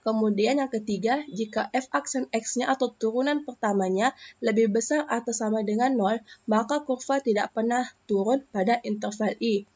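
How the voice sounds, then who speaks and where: A young woman from Indonesia, a math student, explains a lesson step by step in a screen recording, pausing often with hesitations. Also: on the high side, a little mellow, lo-fi sound.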